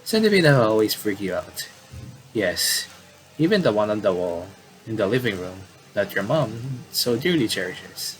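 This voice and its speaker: A man doing casual game commentary and reading story text aloud, haltingly and with repeated words. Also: lowish voice, very animated. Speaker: gaming video commentator. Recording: clearly noisy home recording.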